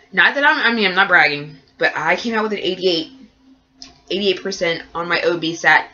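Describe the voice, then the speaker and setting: A woman with a cold talks in a chatty, rambling way, her voice nasal and stuffed up. Her tone is low-pitched yet bright and forceful. The recording sounds dull and lo-fi. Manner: chatty, rambling with many fillers. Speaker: nursing student vlogger. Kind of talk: casual vlog update.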